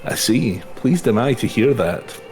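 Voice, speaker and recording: A man voicing a kindly, poetic wandering minstrel from a fantasy game in flowery, measured speech. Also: medium pitch, animated, a little mellow. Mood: welcoming. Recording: music in the background.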